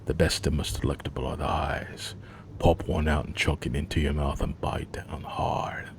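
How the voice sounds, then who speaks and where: A man's voice: extremely low pitch, steady tone, moderate pace, vocal fry, slight background noise. A man voicing an extremely old male ex-pirate villain with rotten teeth, in a put-on English accent, gloating and menacing. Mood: gloating menace.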